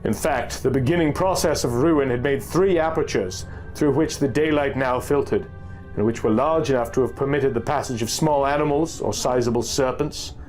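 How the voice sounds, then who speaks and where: A man reading a first-person pulp horror story as a narrator, in a formal, measured, dramatic literary style. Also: mid-range voice, darkish tone, rough recording. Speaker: audiobook narrator. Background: music.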